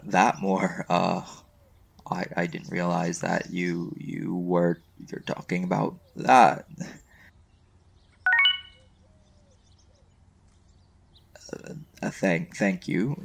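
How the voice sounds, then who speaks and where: Guy acting as a smitten college boyfriend, really gravelly, slow and halting, soft romantic asmr roleplay. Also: low voice, exaggerated voice. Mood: flustered smitten. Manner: soft, halting, stammering when flustered.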